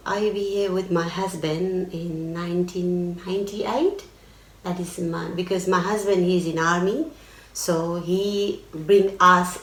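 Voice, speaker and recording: Guest: middle-aged Nepali-accented woman, lowish voice, hesitant, roomy distant sound. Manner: halting, searching for words. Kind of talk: casual interview. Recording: echoey room, mic at a distance.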